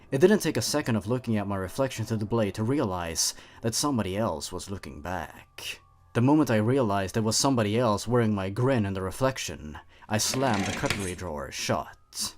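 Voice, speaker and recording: Man, low voice, very varied intonation, scripted horror narration, suspenseful, theatrical delivery.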